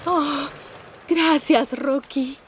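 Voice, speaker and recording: A woman's voice: high voice, steady tone, extremely slow. A woman voicing an earnest, urgent female steam engine in a children's cartoon, emphatic and exclaiming. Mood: grateful. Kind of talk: animated children's series dub. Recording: loud music, noisy background.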